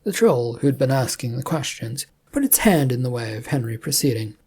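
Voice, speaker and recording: A woman's voice: a bass voice, lively intonation, normal speed, a little mellow, husky. A woman narrating a scripted fantasy story.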